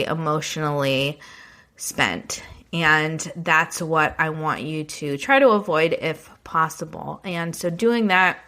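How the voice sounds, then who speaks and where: A woman's voice: lowish voice, a bit bright. A woman hosting her own podcast, talking in a chatty, encouraging way while she has a cold. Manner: chatty, encouraging, speaks straight to the listener. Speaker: podcast host. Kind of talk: casual solo podcast talk.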